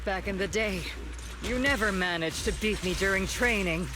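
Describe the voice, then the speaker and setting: An actress voicing a stern, proud, world-weary female colonel and veteran mentor in a video game, grave and measured. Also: medium pitch, background noise, lightly acted. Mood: stern challenge. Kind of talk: dramatic English video game voice acting.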